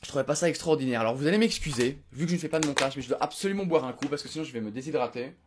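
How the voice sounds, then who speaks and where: Young belgian guy talking fast to camera at home, lots of euh and restarts. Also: medium pitch, background noise. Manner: rambling, many fillers and restarts. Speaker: vlogger. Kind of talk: unedited casual recap to camera. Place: home room.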